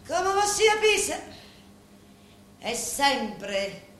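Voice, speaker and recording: An actress playing a proud, determined young Sicilian woman in a radio play, with a Sicilian accent and deliberate, dramatic delivery full of weighty pauses. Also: sits high, big pitch swings, a bit bright, full character voice. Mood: solemn, calculating. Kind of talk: theatrical monologue in a radio play. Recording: very echoey room, faint background noise.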